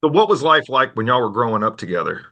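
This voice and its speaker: A man hosting a conversational podcast interview in a folksy, polite, animated manner. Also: medium pitch, fast. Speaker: podcast host.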